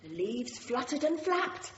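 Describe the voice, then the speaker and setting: A woman playing someone reading a gentle children's tale aloud in a radio drama, phrase by deliberate phrase. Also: sits high, sing-song. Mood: gentle storytelling. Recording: echoey room, dull, noisy recording.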